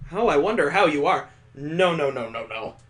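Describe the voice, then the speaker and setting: Male let's play host, voice on the higher side, narrating a visual novel with sardonic wisecracks. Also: animated, normal speed. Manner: sarcastic, wisecracking. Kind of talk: story narration with joking commentary.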